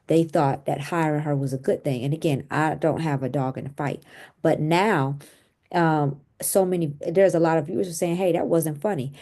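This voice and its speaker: A woman host with a notably low-pitched voice and a mellow, dark tone. She delivers commentary on celebrity drama, explaining context conversationally and adding personal asides.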